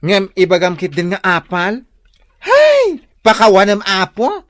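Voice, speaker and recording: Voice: medium pitch, huge pitch swings, unhurried, a bit bright, no echo. An actor playing a sassy, indignant, gossipy cousin in an Ilocano radio drama, with an Ilocano accent. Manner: exclaiming, indignant, teasing. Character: gossipy, sassy cousin who takes the friend's side.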